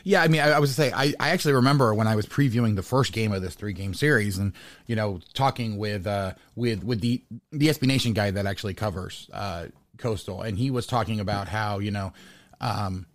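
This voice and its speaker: A man's voice: on the low side, a little fast, dry recording. A man hosting a sports podcast in casual talk, rambling, often repeating words and restarting his sentences.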